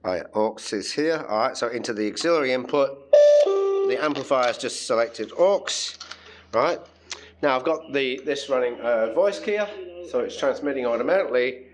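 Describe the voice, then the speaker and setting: An Australian man presents a hobby radio demonstration in an informal, chatty way. He thinks aloud as he works with his equipment, explaining things in plain, everyday terms with a mid-pitched voice. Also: expressive, moderate pace, a little hiss or hum. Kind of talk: casual hands-on tech demonstration.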